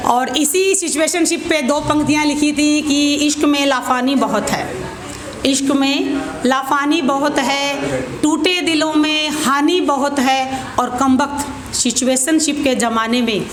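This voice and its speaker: A woman performing as a poet at an open mic, reciting verse with deliberate repeated lines and informal introductions. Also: high voice, steady tone, crowd noise. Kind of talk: spoken Hindi-Urdu poetry recital with informal introductions. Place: open mic event room. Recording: echoey room with clear background noise.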